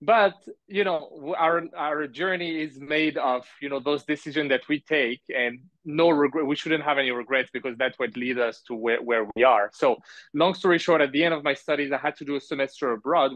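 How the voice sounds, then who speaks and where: A man who grew up in France, a podcast guest telling his story casually in a halting, rambling way full of asides. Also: a little high, steady tone, a bit bright, no echo. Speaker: podcast guest, business owner. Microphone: remote call. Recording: dull lo-fi audio, close and dry.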